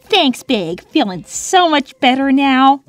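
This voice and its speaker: A woman's voice: sits high, big pitch swings, takes it slowly, dry recording, faint music behind, heavily acted. A woman voicing a young female cartoon hedgehog, peppy and touchy, with a sarcastic, girlish manner. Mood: wry, peppy. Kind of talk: cartoon character answering fan questions.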